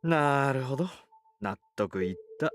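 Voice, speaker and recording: An actor voicing a weary, level-headed young male college student in a visual novel, low-key and sardonic. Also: animated, normal speed, close to an everyday voice. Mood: dry. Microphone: studio recording played from the game. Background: soft game music.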